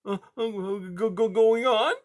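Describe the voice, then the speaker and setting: A woman's voice: fairly high pitch, unhurried, pitched above the performer's own voice, close to an everyday voice. A woman voicing a small, terrified male mouse in a children's story, stammering and whimpering as he pleads.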